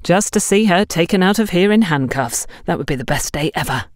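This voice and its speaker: A woman's voice: on the low side, animated, a little fast, dry recording, studio quality, close to an everyday voice. A woman voicing a cocky, mischievous young male security guard in an audiobook, boastful and theatrical. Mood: gleefully vengeful.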